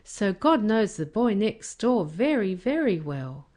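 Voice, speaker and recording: A woman plays a patient storybook father reassuring his young son. The delivery is calm and measured, with lively intonation as he explains things. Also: mid-range voice. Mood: patient, explaining. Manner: explaining calmly. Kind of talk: children's storybook character voice.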